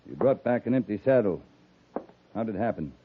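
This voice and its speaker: A man's voice: lowish voice, expressive, moderate pace, slight background noise, close to an everyday voice. An American man in a 1950s radio drama playing a stern, protective frontier cavalry captain with curt, authoritative military speech. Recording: muffled, band-limited 1950s radio recording.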